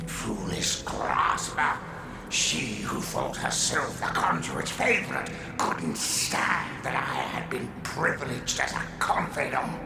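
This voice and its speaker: An actor playing a fanatical undead cultist in a video game, theatrical and reverent, with a gravelly voice that drops into whispers. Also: male voice, mid-range voice, full character voice. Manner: theatrical, savoring, slow delivery. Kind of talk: dramatic video game monologue. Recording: echoing voice under loud music.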